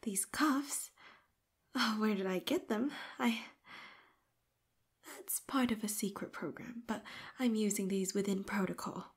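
A woman voicing a breathy, possessive robot girl. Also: mid-range voice, measured pace, pauses often. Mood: calm, possessive. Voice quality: breathy, soft and hushed. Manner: pleading then eerily calm and controlling. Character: obsessive loyal female robot companion, clingy and possessive. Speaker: voice actress. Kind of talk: audio roleplay.

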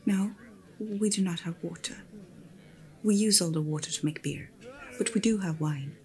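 A woman playing an attentive innkeeper in a fantasy tavern ASMR roleplay, speaking in a hushed, breathy, often whispered voice. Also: lowish voice, natural voice. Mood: gently hospitable. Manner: hushed, unhurried, attentive hostess talk. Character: Edna, attentive female innkeeper serving guests in fantasy tavern.

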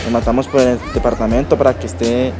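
A man's voice: mid-range voice, flat delivery, fast talker. A nineteen-year-old man with a Colombian accent from Valle del Cauca, speaking plainly and informally as an athlete in a documentary interview. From Yumbo, Valle del Cauca, Colombia. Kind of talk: documentary interview, personal account. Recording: music under the voice. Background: music.

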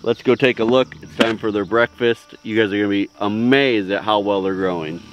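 A man's voice: fairly low pitch, a little fast, dull audio. A man in his forties hosting a homestead vlog, speaking casually to camera outdoors on a farm.

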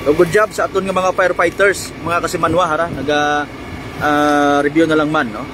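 A Filipino male field reporter with a fairly high voice delivers live on-scene commentary. He improvises haltingly as he addresses viewers. The outdoor roadside recording carries clear vehicle and ambient noise. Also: flat delivery. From Philippines. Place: outdoors on a road at a fire scene.

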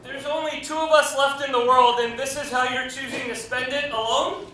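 A man's voice: high voice, lightly acted. A man playing a jilted survivalist husband, echoey and distant. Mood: wounded. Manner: halting, repeating himself, flaring into exasperation. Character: jilted, boastful survivalist husband, clinging and indignant. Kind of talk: live two-person comedy stage sketch. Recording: echoey stage, distant mic, faint noise.

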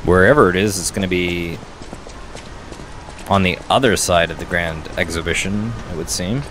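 A man's voice: sits low, moderate pace, a bit bright, a little hiss or hum. A man giving casual let's play commentary, muttering half to himself and half to the game. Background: video game sound.

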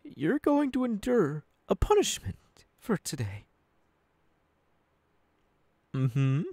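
Young male villain boss, acted by a man, unhinged and mocking, slow, wildly swinging pitch, ASMR roleplay. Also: huge pitch swings, a little mellow, breathy edge, no room sound, full character voice. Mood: sinister, threatening. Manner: taunting, drawn-out, dropping into whispers. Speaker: voice actor.

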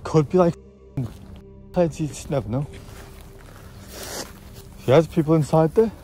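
A man's voice: fairly high pitch, unhurried, warm tone, soft background music. A young man talking casually as an exploring vlogger outdoors at night. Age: young adult. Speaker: vlogger and paranormal investigator. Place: outdoors at night near abandoned building.

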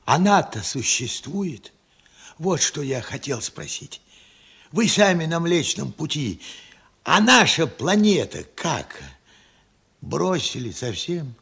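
An actor playing a regretful, stubborn old working man in a radio play, plain-spoken and reflective, with halting speech. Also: on the high side, big pitch swings, fairly bright tone, exaggerated voice. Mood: stubborn, curious. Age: elderly. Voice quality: husky, creaky old voice. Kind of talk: Soviet radio play acting.

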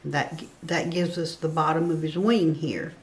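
Slow, patient woman teaching a drawing. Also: deep voice, fairly even intonation. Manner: slow, patient counting aloud while drawing. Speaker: craft instructor. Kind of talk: step-by-step drawing tutorial. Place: indoor work table.

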